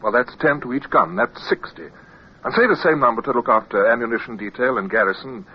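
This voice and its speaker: An actor playing an earnest young British naval lieutenant in a radio drama, respectful and formal, persistent though a little hesitant. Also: male voice, mid-range voice, a little fast, husky, close to an everyday voice. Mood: earnest persuasion. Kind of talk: radio drama acting with first-person narration. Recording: muffled, noisy old radio recording.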